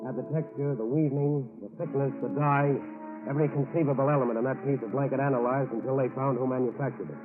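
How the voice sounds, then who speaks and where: A man narrating a scripted crime drama in deliberate, authoritative 1940s radio style. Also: medium pitch, steady tone, phone-quality sound, over music. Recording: band-limited 1940s radio recording.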